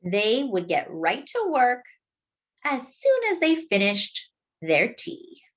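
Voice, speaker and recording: A woman reads a picture book aloud expressively, explaining things simply and deliberately as if to young children. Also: a little high, wildly swooping pitch. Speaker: children's storybook reader.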